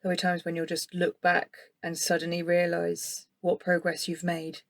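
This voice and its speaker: A woman's voice: fairly low pitch, monotone, moderate pace, vocal fry. A woman interviewing someone in a casual, supportive conversational style.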